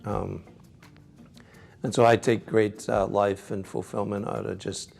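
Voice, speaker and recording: Low gravelly Scottish priest. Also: male voice, level tone, measured pace, a little mellow, faint music behind. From Scotland. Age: middle-aged. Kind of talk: reflective interview answers.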